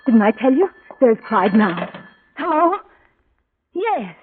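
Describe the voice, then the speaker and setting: A woman's voice: a little high, very animated, slow, a little hiss or hum. An actress playing a lovesick, obsessive young woman in an old-time radio drama, pleading with impassioned, bitter anguish. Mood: tense, expectant. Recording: tinny vintage radio recording with music.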